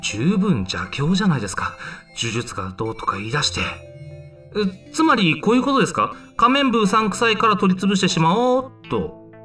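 A young man playing a flustered, flippant high school boy in an amateur voice drama, casual, sceptical and given to exclaiming. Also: big pitch swings, fairly quick, sharp tone, far from the mic, faint music behind, full character voice.

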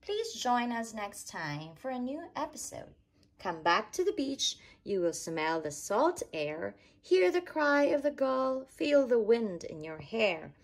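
A woman reading a rhyming children's picture book aloud in an expressive, sing-song storytelling way. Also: fairly high pitch, slow pace. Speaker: storytime reader.

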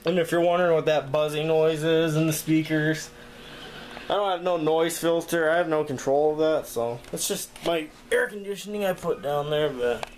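Guy with a slightly high voice showing off his diy setup in his bedroom, handheld camera, bit of hum. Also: steady tone, moderate pace, darkish tone. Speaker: video creator showing a homemade gadget. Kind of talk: informal hands-on demonstration vlog. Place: small bedroom, indoors. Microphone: handheld camera, operator behind it.

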